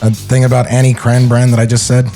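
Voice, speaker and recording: Casual male podcaster, medium pitch. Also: monotone, fast, vocal fry, music in the background. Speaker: podcast co-host. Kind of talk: casual chat, joking interjections.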